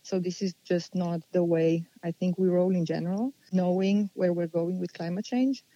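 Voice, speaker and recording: A woman, answering interview questions unhurriedly and pausing as she thinks. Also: fairly low pitch, steady tone, mellow, dry recording, muffled recording. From Slovenia. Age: 40s. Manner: unhurried, thoughtful, with many pauses and restarts. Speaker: guest.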